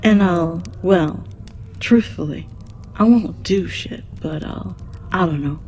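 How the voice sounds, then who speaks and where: A woman's voice: lowish voice, slow, a little mellow, slight background noise. A woman playing a jaded, mocking keeper of the underworld, brash, foul-mouthed and drawling, in an audio drama. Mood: scornful, exasperated. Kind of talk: scripted audio drama monologue.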